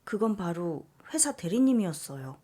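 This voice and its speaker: A young woman softly reading a first-person story aloud in a subdued, even voice. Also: fairly low pitch, normal speed. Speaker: story reader.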